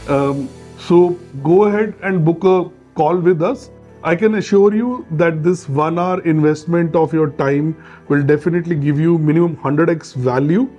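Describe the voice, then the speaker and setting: An Indian-accented man speaks in a fairly high voice over background music. He explains things step by step, with emphasis, like a consultant presenting business advice to camera. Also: dark tone. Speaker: presenter, solar energy consultant. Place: small bright office, indoors, at a desk.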